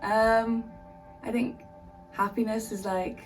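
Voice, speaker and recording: A woman's voice: a little high, flat delivery, takes it slowly. A young woman, a college freshman, searching for words as she answers. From Bristol, England. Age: young adult. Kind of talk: documentary interview answers. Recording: distant mic with music underneath.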